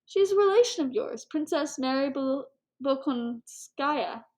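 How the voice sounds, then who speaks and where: A woman's voice: high voice, lively intonation, measured pace, darkish tone. A woman reading a storybook as an effusive, courtly society hostess who confides and meddles with an air of melancholy. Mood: coaxing.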